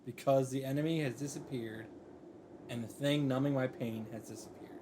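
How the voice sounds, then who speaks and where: A man's voice: mid-range voice, fairly even intonation, normal speed. Man reading a story dramatically over noise. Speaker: video creator reading a visual novel aloud. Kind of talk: dramatic read-aloud narration with off-the-cuff reactions.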